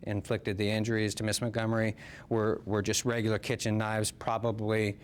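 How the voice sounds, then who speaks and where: A man's voice: on the low side, monotone, normal speed, a little hiss or hum. A man with an American accent, a police lieutenant explaining a case in an interview.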